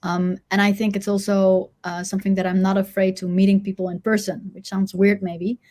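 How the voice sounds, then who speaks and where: A woman's voice: medium pitch, little pitch movement, fairly quick, a little mellow. Dutch woman w/ a mixed-up accent, chatty, lots of uhs and restarts. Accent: mixed Dutch-international. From Netherlands. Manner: many fillers and restarts, cheerful. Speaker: agency CEO guest. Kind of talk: casual interview chat.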